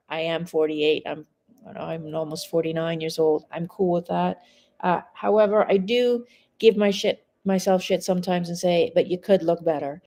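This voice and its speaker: A woman in her forties speaks in a low, mellow voice, talking straight to her live viewers from home. She is a mindset coach, blunt and conversational, and swears casually as she goes. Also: a little hiss or hum. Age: 40s. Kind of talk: casual live-stream monologue.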